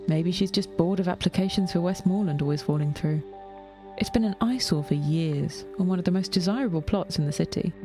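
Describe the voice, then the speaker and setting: A woman narrating scripted fiction in a measured way, with dry wit. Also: lowish voice, over music.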